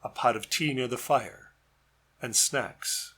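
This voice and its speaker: A man's voice: medium pitch, slow, pushed and bright, a little hiss or hum. Man reading a narrative poem aloud. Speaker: poet reading own work. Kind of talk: scripted poetry reading, storytelling narration.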